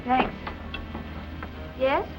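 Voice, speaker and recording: An actress playing an earnest, brave young heroine in the polite, clipped manner of 1940s film noir. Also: female voice, very slow, low-quality recording. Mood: eager, urgent. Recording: vintage film soundtrack over music.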